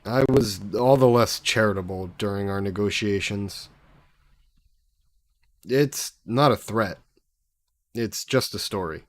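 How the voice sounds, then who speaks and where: A man plays an ancient, possessive demon trapped in a necklace, a sly figure who taunts with a mocking, theatrical air. The voice sits low and unhurried. It sounds close and dry, with no room around it. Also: no room sound. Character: centuries-old male demon bound in a necklace, sly, possessive. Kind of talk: audio roleplay to the listener.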